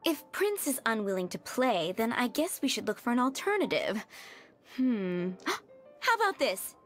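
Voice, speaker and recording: An easygoing female tavern keeper in a game cutscene. Her voice sits fairly high, with a cheerful, coaxing and casual delivery over music. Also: big pitch swings, unhurried. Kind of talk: video game cutscene acting.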